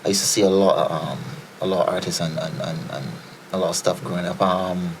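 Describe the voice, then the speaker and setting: A Barbadian man with a low, grounded voice chats loosely as a podcast guest. He rambles through stories with plenty of fillers and repeated starts, and a faint hiss sits behind him. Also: flat delivery, brisk. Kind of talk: casual storytelling chat.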